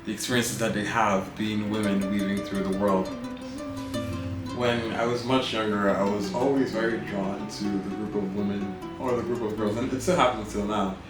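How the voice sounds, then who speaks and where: A man's voice: on the low side, fairly even intonation, moderate pace. A man in his twenties from Nigeria, talking thoughtfully in a personal video monologue and often checking in with "right?". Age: 20s. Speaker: vlogger. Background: guitar music.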